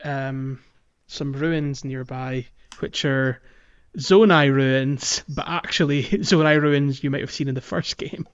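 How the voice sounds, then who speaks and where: A man co-hosting a podcast, talking casually and haltingly as he explains things, with the odd chuckle. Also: mid-range voice, fairly even intonation, clear, resonant tone, no room sound. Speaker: podcast co-host. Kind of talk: casual game-walkthrough podcast chat. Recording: dull, lo-fi, dry close recording.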